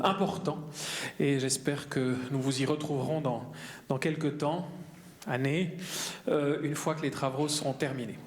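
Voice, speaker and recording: A man, speaking for the cinematheque, opens the evening with a hesitant, lightly self-mocking welcome. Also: on the high side. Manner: hesitant, self-deprecating, chuckling. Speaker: cinematheque representative. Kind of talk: welcome speech. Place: cinema hall. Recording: echoey hall with faint noise.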